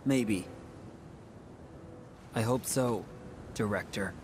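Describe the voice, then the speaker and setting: An actor playing an earnest, upbeat young warrior hero in a video game. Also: male voice, lowish voice, very slow, noisy background. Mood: thoughtful. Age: young adult. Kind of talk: English video game voice acting.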